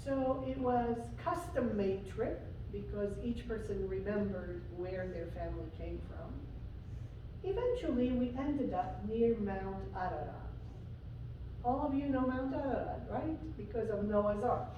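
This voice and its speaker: Slow-speaking woman preacher from Syria. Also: mid-range voice, dull audio, slight background noise. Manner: slow, personal storytelling with frequent pauses and fillers. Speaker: guest preacher. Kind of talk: sermon. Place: church sanctuary. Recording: echoey church from far off.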